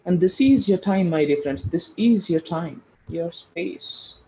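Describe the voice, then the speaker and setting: A man with a high-pitched yet very soft, mellow voice that turns creaky, guiding a meditation. He gives slow, soothing instructions and repeats short phrases, on a dull recording. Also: expressive. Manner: repeats phrases for emphasis, addresses listeners as friends. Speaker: guided meditation teacher.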